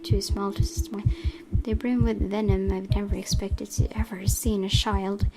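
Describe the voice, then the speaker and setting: A woman gaming host reading horror visual novel narration aloud with dramatic emphasis, often dropping into hushed, breathy tones. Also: mid-range voice, little pitch movement, moderate pace, noisy background. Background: game music.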